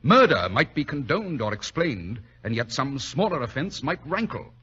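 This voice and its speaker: A male actor with a British accent playing a shrewd, self-assured gentleman detective in an old radio drama, speaking in a clipped, slightly husky, authoritative way. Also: medium pitch, very animated, normal speed, exaggerated voice. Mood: musing, assured. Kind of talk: 1940s radio drama acting. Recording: dull lo-fi old radio recording.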